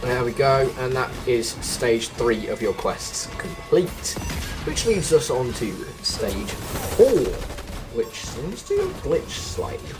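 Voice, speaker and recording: A man's voice: medium pitch, very animated, slow, music in the background. A man giving chatty game quest walkthrough commentary as a host, often correcting himself as he goes. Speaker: gaming video host.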